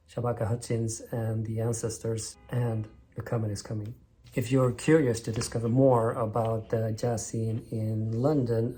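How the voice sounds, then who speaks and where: A man from northern Sweden, in his thirties, hosting a vinyl record channel in enthusiastic, measured scripted narration. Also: medium pitch, vocal fry, dark tone, a little hiss or hum.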